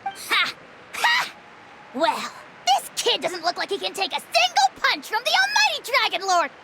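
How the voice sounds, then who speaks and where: A woman voicing a tiny floating fairy companion, chirpy, excitable and blunt, in a video game cutscene. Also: extremely high pitch, gravelly, very noisy, exaggerated voice. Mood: teasing. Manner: excitable, quick to comment. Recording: game audio with loud music.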